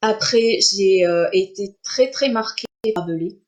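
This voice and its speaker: A middle-aged woman born in Armenia, an author chatting on a live stream, speaking with many pauses, fillers and restarts. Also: mid-range voice, darkish tone. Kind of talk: casual live-stream interview.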